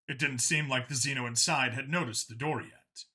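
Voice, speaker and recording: A man's voice: mid-range voice, fairly even intonation, normal speed, pushed and bright, breathy edge. A man narrating a scripted science fiction story as an audiobook reader.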